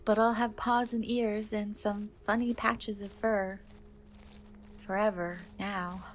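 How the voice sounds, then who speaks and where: A woman playing a gentle, wistful young woman cursed into a half-cat, in a soft, hesitant dark fantasy ASMR roleplay. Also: medium pitch, level tone, slight background noise, natural voice. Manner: hesitant, soft, slow, often trailing off.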